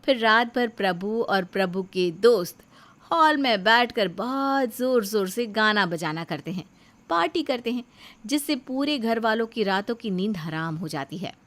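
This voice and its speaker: A woman's voice: medium pitch, lively intonation, measured pace, fairly bright tone. A woman reading a scripted family story as its narrator, in a storytelling style.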